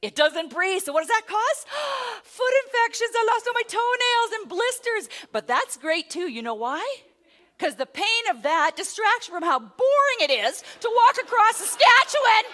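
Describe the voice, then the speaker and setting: A middle-aged woman, a motivational speaker, telling a self-mocking story to a live audience, rising to loud exclamations. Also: very high-pitched, sing-song, moderate pace. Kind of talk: storytelling keynote talk.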